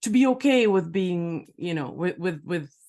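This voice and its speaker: A middle-aged woman from Palestine hosting an interview, asking searching, halting questions. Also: fairly low pitch, lively intonation, a little mellow. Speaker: interview host. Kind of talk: conversational interview.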